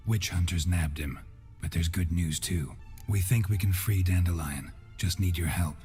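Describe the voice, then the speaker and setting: An actor playing a stoic, dry-witted monster hunter in a video game, speaking tersely and deadpan. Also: male voice, sits low, normal speed, lightly acted. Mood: matter-of-fact. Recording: music behind the voice.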